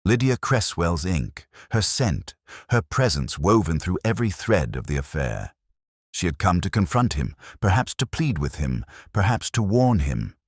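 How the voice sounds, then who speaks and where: A man playing a weary, melancholy English police detective, narrating a radio mystery in a measured, literary, introspective manner. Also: low-pitched, sing-song, slightly rough, dry recording, studio quality, heavily acted. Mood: sombre, reflective. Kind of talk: slow literary first-person detective narration, radio drama.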